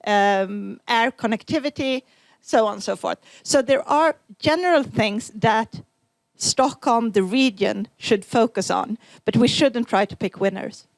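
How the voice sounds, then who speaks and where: A woman on a conference panel speaks with a fairly high voice, hesitating often and filling pauses as she works out her point. The recording is dry and close. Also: gravelly, dry recording. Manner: hesitant, many fillers. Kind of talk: panel discussion.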